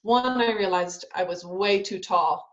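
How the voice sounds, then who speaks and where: A woman's voice: mid-range voice, unhurried. Chatty young woman on a lo-fi call. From Italy, raised in Southern California. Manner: chatty, relaxed, with frequent ums and restarts. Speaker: ballet company dancer. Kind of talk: casual interview over video call.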